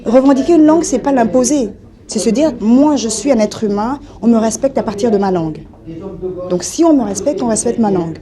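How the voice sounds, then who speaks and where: A woman's voice: on the high side, animated, fairly quick. A woman from Africa, whose first language is not French, making an earnest, insistent statement as an activist. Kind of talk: impassioned public statement. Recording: crowd noise behind.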